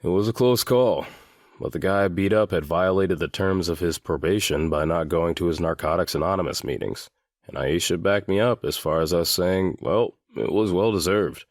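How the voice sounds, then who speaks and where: A man playing a guarded seventeen-year-old boy in a full-cast audiobook, speaking in a deliberate, matter-of-fact way. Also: sits low, dry recording, clean studio sound. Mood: frank, determined. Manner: deliberate, matter-of-fact, swears now and then.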